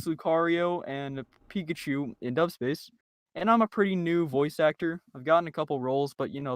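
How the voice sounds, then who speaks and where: A man's voice: on the high side, fairly bright tone. A young man chatting casually, introducing himself as an amateur voice actor. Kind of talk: casual chat.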